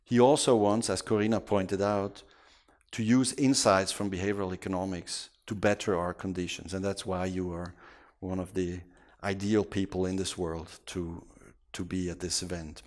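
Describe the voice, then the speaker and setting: Low-voiced man introducing a speaker. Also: fairly even intonation, darkish tone. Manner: measured, courteous with fillers. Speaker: event moderator. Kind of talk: formal introduction. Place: conference hall.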